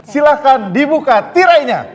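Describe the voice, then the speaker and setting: A man's voice: very high voice, very animated, moderate pace, big-room sound. A man hosting a dating show, playful and teasing with the guests. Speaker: host.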